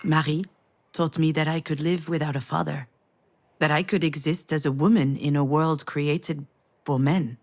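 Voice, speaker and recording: Low-voiced wistful, wounded woman. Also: fairly even intonation, normal speed, darkish tone. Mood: reflective, solemn. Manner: reflective, intimate, confessional. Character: wistful, wounded adult woman parting from her ex. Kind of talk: naturalistic visual novel voice acting.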